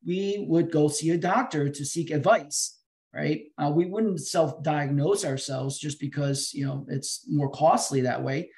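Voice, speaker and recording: Man explaining, slightly high-pitched voice. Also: a little slow, vocal fry, a little mellow. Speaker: financial advisor guest answering questions. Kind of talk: webinar question-and-answer. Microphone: video call.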